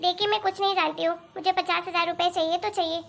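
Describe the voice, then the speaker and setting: A woman's voice: very high-pitched, fairly even intonation, fast talker, gravelly, fairly bright tone, exaggerated voice. A woman voicing a demanding, spendthrift young wife in a cartoon moral story, chatty and pleading as she nags. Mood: annoyed complaining.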